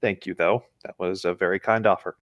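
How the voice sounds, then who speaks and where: A man's voice: deep voice, fairly even intonation, a little fast, a bit bright, no echo, dull audio. A man reading a story aloud as co-host in a casual, conversational way, with dry asides.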